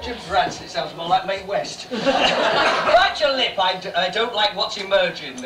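A man's voice: high voice, lively intonation, measured pace. A man playing a huffy, indignant castaway, speaking theatrical rhymes in an amateur stage pantomime. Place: small bar stage indoors. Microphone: distant camera. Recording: very noisy, echoey bar stage from far off.

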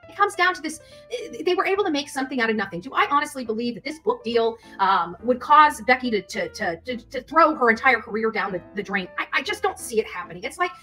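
A woman's voice: a little high, animated, fast, bright, forceful tone, slightly rough, soft background music. A middle-aged woman chatting casually to livestream viewers, scattered and repeating her words as she goes. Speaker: livestream host covering a court case. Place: outdoors outside a courthouse at first, later a hotel room.

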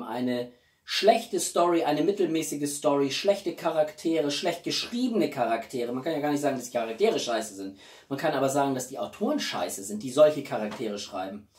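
A man's voice: medium pitch, animated, normal speed, darkish tone. A man, chatting casually to camera as an opinionated film reviewer, speaking colloquially and with emphasis. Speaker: YouTube film reviewer and channel host. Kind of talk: casual chat to camera about a film collection. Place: small room at home, indoors. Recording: roomy, distant microphone.

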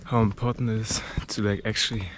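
A man's voice: fairly low pitch, little pitch movement, quick pace. Breathless young German-accented man vlogging. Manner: laid-back, rambling, lots of "like" and swearing. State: out of breath from climbing steps. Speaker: travel vlogger. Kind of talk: casual vlog talk while hiking. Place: outdoors on a rocky mountain trail. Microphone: handheld camera at arm's length. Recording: outdoor camera audio with clear background noise.

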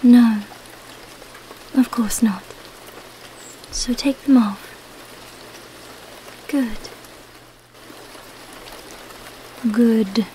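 A woman acting as a smug, seductive female vampire in an ASMR roleplay, very slow and coaxing. Her voice is soft, breathy and darkly mellow, often sinking to a whisper. Faint rain and thunder sit behind her. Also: fairly high pitch, slight background noise, poor audio. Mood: soothing, coaxing. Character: seductive, commanding female vampire, smugly hypnotic.

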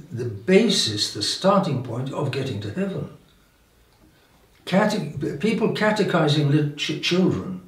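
An elderly man, a bishop preaching an informal sermon in a halting way, repeating and restarting his phrases with emphatic pauses. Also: on the high side. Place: indoor room with religious paintings. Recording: roomy echo, distant microphone.

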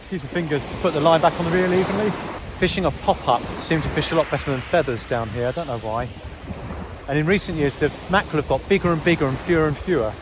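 A man's voice: fairly high pitch, fast talker. A man, talking casually and instructively as a fishing vlogger. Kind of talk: casual instructional vlog talk. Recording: clear background ambient noise.

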